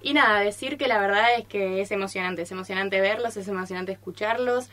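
A woman's voice: mid-range voice, brisk, a bit bright. A young woman speaking casually and unscripted as a studio guest, with an informal, giggly manner. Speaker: guest visiting a radio studio. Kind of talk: casual, unscripted thanks on air.

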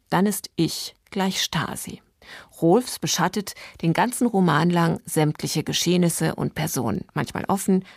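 A woman radio host giving a scripted introduction to a literary reading in a measured, explanatory manner. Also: fairly low pitch, creaky, dry recording, clean studio sound. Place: dry radio studio.

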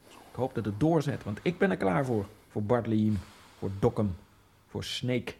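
An actor playing a lonely Egyptian immigrant man in a radio drama, musing in a wry inner monologue that keeps jumping into giddy high outbursts. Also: animated, moderate pace, creaky, warm tone, a little hiss or hum. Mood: brooding. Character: lonely male Egyptian immigrant, brooding, self-mocking. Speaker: narrator and main character.

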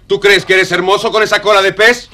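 An actor playing an earnest vizier in a children's audio storybook, theatrical, with an Argentine accent. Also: male voice, sits high, little pitch movement, fairly quick, a crowd in the background, close to an everyday voice. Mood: indignant. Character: earnest vizier, human friend of a merman. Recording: noisy old storybook recording.

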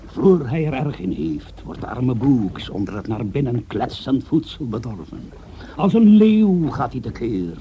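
A man's voice: mid-range voice, very animated, a little slow, lo-fi sound. A male actor as the solemn, dramatic storyteller of a Dutch mock-heroic radio play, delivering scripted narration. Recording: noisy radio play soundscape.

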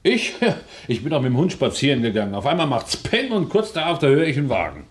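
A man's voice: mid-range voice, big pitch swings, quick pace, husky. An actor playing a chatty, nosy male neighbour, hesitant and gossipy, in a radio crime drama. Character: chatty, nosy male neighbour and estate steward, town councillor.

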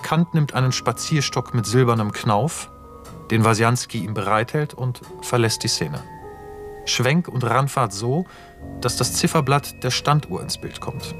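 Man acting radio play narrator, stage directions, mid-range voice, factual, clipped, sober, soft music behind. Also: medium pitch, unhurried, natural voice. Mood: matter-of-fact.

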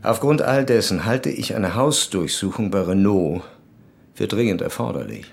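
An actor playing a determined, grim police commissaire in a crime radio drama, speaking deliberately and formally. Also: male voice, lowish voice, lively intonation, creaky. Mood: formal, insistent.

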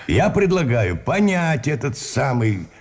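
An actor in a Russian radio drama playing a polite, formal colonel who takes charge among war prisoners. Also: male voice, medium pitch, very animated, measured pace. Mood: polite, organizing.

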